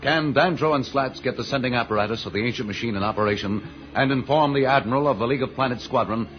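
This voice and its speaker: A man's voice: mid-range voice, quick pace. A man announcing a scripted old-time radio serial with dramatic, suspenseful questioning. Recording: dull old radio recording, loud organ music.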